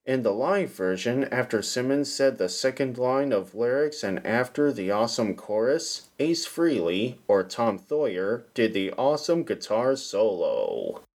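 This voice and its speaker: Male host, scripted narration, slow and deliberate delivery, medium pitch. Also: big pitch swings. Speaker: podcast host.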